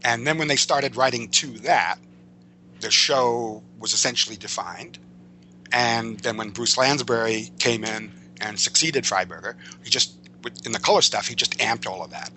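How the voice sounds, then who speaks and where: An older American man speaking as an interview guest, reminiscing in a discursive, halting way and often correcting himself. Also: mid-range voice, pushed and bright, a little hiss or hum, low-quality recording. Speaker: podcast guest, veteran writer.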